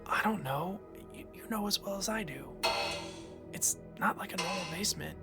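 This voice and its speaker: A man's voice: high voice, moderate pace, vocal fry. A man playing a weary, resigned young man in an audio drama, casual and dismissive. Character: sleepy, resigned young man, Aaron, downplaying strange noises. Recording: music under the voice.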